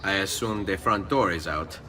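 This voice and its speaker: An actor playing a serious, confident young assassin with an Italian accent, speaking tersely in a video game. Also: male voice, low voice, moderate pace, sharp tone, recorded from a distance, a little hiss or hum. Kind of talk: dramatic video game acting.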